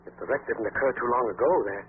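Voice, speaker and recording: An actor playing an obsessed, arrogant doctor of science, pompous and intense, in 1940s radio drama style. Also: male voice, mid-range voice, fast, lightly acted. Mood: condescending. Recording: tinny, hissy 1940s radio recording.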